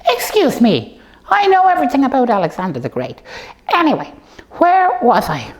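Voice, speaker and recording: A woman's voice: high voice, wildly swooping pitch, normal speed. A woman voicing a bossy, childlike know-it-all puppet who rambles through muddled stories in an improvised comic show. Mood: confident, rambling.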